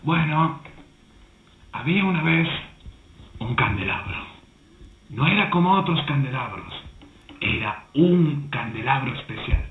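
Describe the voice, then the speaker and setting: A man's voice: a little high, animated, measured pace, long pauses. A man acting a paranoid, earnest conspiracy believer at a writing workshop in an amateur radio play. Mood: solemn storytelling. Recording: tinny, roomy recording with faint hum.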